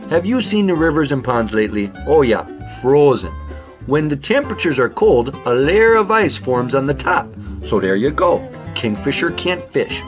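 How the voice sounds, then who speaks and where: A man voicing a friendly, folksy northern beaver who talks in homely tags, in a children's audio drama. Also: medium pitch. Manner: folksy, repeats "oh yeah" and "hey".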